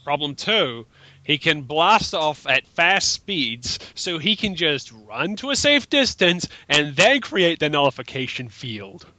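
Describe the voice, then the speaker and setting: Sharp, argumentative man in casual commentary. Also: a little high, big pitch swings, a little slow, no room sound.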